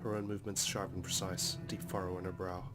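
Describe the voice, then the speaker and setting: A man doing a Let's Play, dramatically reading visual novel narration in a serious, measured storytelling manner. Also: sits low, flat delivery. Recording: loud music behind the voice. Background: game soundtrack music.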